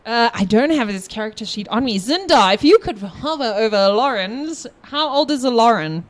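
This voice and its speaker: Lady game master, kinda high voice, giggly and jokey, just chatting with friends on a podcast. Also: normal speed. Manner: giggly, jokey, animated storytelling. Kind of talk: casual joking group chat.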